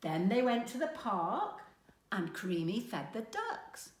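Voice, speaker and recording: Slow, animated woman reading a storybook. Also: medium pitch, echoey room. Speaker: storyteller, grandmother. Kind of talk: children's storybook narration read aloud.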